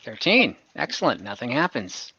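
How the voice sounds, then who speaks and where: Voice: mid-range voice, big pitch swings, normal speed, sharp tone, husky, dull audio. A game master running an online fantasy tabletop game, improvising narration and thinking aloud in a casual, easygoing way. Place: online voice call.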